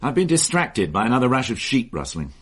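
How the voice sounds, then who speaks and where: An actor playing a dry, wry police inspector in a radio drama. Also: male voice, mid-range voice, sing-song, brisk, husky.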